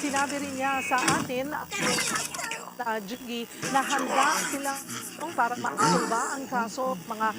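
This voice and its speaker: A woman's voice: high voice, lively intonation, unhurried. A woman with a Filipino accent giving a live news report as a reporter and anchor. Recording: loud music over the voice. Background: loud music.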